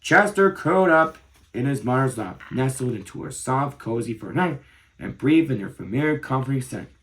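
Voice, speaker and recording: A man in his thirties narrating a children's storybook aloud in an expressive way. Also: medium pitch, moderate pace. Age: 30s.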